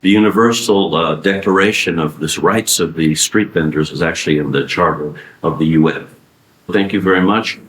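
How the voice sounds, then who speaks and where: A man giving a conference talk, animated, asking rhetorical questions and answering them himself. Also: sits low, moderate pace, faint music behind. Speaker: conference speaker. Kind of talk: conference presentation. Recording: echoey room.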